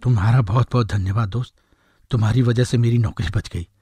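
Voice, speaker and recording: A man playing an earnest, anxious husband and office worker in an animated story, with a husky voice. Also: mid-range voice, brisk, no echo. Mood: grateful, earnest. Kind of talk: animated moral story voice acting.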